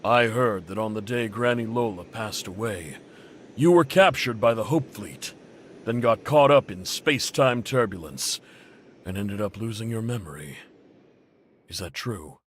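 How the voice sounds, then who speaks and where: A man's voice: fairly low pitch, lively intonation, unhurried, slight background noise. A man voicing a bitter, manipulative faction leader in an anime game, theatrical and full of pointed questions. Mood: somber, menacing.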